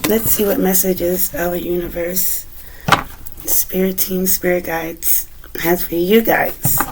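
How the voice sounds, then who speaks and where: A woman with a raspy, recovering voice giving a relaxed, chatty tarot reading at home, with many fillers. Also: on the low side, slow, background noise. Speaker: tarot reader. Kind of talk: casual tarot reading to camera.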